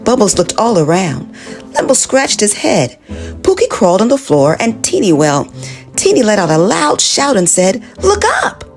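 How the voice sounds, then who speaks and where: A woman narrating a children's storybook in an animated, expressive read-aloud manner. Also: medium pitch, moderate pace, faint music behind.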